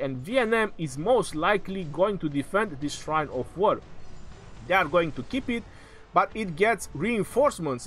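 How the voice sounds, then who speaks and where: A man calling a strategy game match live, in excitable, joking play-by-play, his voice going hoarse. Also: a little high, slight background noise.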